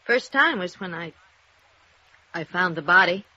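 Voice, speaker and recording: A woman's voice: fairly low pitch, expressive, measured pace, pushed and bright, full character voice. A woman playing a plain-spoken, guarded working-class gal in a 1950s radio noir, with casual, clipped, folksy phrasing. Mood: explaining, guarded. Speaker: radio drama supporting actress. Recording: dull vintage radio recording.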